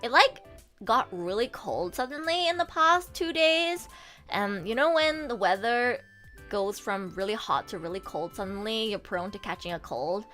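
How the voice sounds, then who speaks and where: A woman streamer chatting casually with her viewers, animated and swearing freely, her throat a bit sore from a coming cold. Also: medium pitch, moderate pace, faint music behind. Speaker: VTuber streamer and voice actor. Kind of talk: casual livestream chat.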